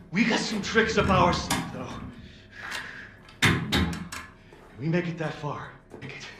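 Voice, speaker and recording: An actor playing a fast-talking, profane and slangy rebel who poses as a lawyer in a video game cutscene. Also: male voice, high-pitched. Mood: pained, urgent. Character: fast-talking sweary rebel posing as a lawyer, wild and wired. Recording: roomy echo.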